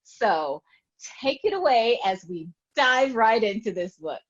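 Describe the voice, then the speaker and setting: A woman hosting a children's read-aloud show in a gushing, excited manner. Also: on the high side, measured pace, highs cut off.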